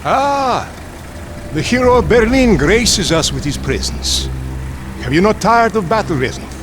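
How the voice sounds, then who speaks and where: A man's voice: high voice, sing-song, measured pace, background noise. A man playing a mocking Soviet officer in a war game, sarcastic, with a Russian accent. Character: sneering, mocking Soviet officer, a scornful rival. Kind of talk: video game acting.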